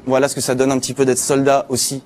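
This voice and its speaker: A man's voice: mid-range voice, monotone, fast, a little mellow. A man giving an informal spoken chronicle on a live talk show, as a news contributor. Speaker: contributor presenting internet news.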